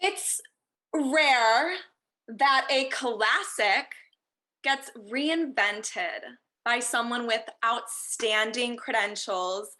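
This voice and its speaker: A woman playing a vacuous Hollywood PR rep in a table read, with a halting, airheaded announcing delivery. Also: a little high, sharp tone, exaggerated voice. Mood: airy, presentational. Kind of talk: acted screenplay table read.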